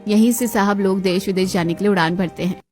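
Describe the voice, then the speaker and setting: A woman's voice: mid-range voice, quick pace, a little mellow, faint music behind, close to an everyday voice. A woman playing a polite, deferential palace servant who explains things formally in a Hindi moral-story cartoon. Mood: calm, informative.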